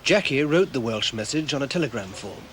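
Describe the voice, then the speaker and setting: A man giving plain, measured scripted narration for a children's programme. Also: medium pitch, animated, background noise. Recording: narration over music. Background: music.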